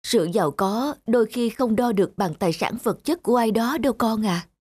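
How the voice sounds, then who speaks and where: A woman's voice: fairly high pitch, animated, a little fast, a little mellow, husky. Kind, patient cartoon mother. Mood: tender, wise. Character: poor, kind, patient mother selling bread at market. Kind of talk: cartoon voice acting. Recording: music behind the voice.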